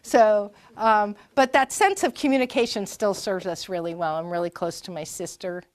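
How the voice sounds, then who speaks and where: A woman in her fifties from Maine speaks in a middle-pitched voice, recorded dry and close. She rambles cheerfully through family stories, full of "um"s and restarts, as a guest at a library talk. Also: moderate pace, no echo. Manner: chatty, rambling with many fillers and restarts. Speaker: performer and guest. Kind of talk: conversational interview storytelling.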